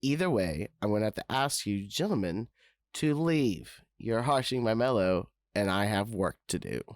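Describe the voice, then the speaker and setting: An actor playing a wiry, laid-back bike mechanic in an audio drama, polite and placating. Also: male voice, fairly low pitch, lively intonation, normal speed, slight background noise. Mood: calm, firm. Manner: mellow, placating.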